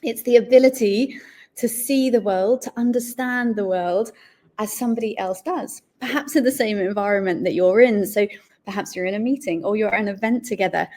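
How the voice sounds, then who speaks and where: A woman's voice: mid-range voice, a little fast, dark tone. Articulate woman explaining on a podcast. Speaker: guest, author. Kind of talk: podcast interview. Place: Malaysia.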